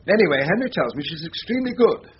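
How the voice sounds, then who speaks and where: An actor playing an evasive head of chambers in a radio comedy, bumbling, affected and hesitant. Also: male voice, a little high, big pitch swings, fast talker. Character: languid, evasive male English head of chambers. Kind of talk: radio comedy-drama acting. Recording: muffled radio recording, faint noise.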